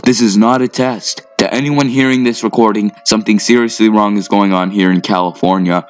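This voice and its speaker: A man plays a male news reporter caught in a disaster drama. His voice sits on the low side and he speaks with urgent alarm. He addresses viewers like a live broadcast. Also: natural voice.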